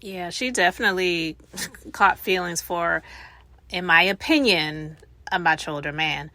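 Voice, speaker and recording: A woman's voice: fairly low pitch, a little slow, pushed and bright, no echo. A woman giving reaction commentary as the host of a channel.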